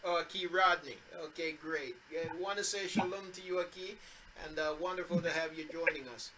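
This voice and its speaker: A man's voice: a little high, fairly even intonation, a little fast, pushed and bright. A man leading an online scripture study, explaining patiently with fillers and repeated words. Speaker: teacher leading the study group. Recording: noisy online call audio.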